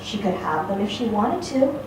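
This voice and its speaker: A woman giving a live dramatic reading of a literary story, phrasing it expressively and deliberately with pauses. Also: medium pitch, big pitch swings. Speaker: story reader. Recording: very echoey room, distant mic, faint noise.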